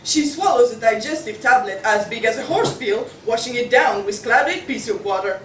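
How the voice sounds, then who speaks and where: A woman's voice: a little high, lively intonation, normal speed, a bit bright. A young woman reading scripted narration as a student narrator at a play table read. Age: young adult. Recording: echoey room, distant mic, faint noise.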